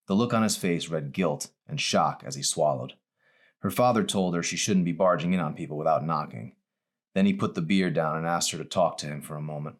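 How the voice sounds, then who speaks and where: Low-pitched man narrating a novel. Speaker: author reading own novel. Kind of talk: scripted audiobook narration.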